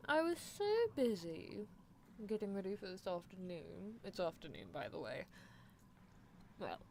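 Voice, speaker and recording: A woman's voice: mid-range voice, big pitch swings, measured pace, gravelly, slight background noise. A woman voicing a playfully menacing, teasing female mad scientist in a roleplay ASMR stream, musing theatrically. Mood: teasing, chatty. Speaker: livestreamer. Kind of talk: roleplay ASMR livestream, answering chat in character.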